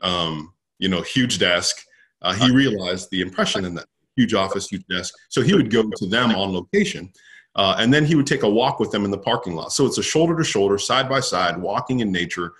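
A man with a low-pitched voice speaks as an expert interview guest. He is conversational and affirming, and explains his points as stories. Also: animated, a little fast, fairly bright tone.

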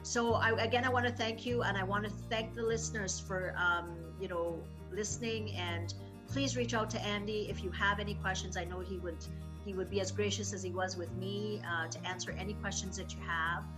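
A woman's voice: medium pitch, steady tone, fairly quick, over music. A woman in her fifties hosting an interview show, speaking in a friendly way with many hesitations. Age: 50s. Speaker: host.

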